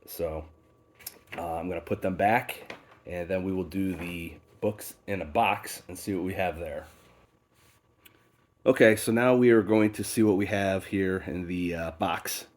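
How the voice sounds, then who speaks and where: A man's voice: sits low, measured pace, a little mellow. A man with a stuffy head cold, talking casually and unhurriedly through a pile of books, with many fillers. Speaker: book channel host. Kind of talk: casual unboxing talk. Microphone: off-camera voice, faceless video.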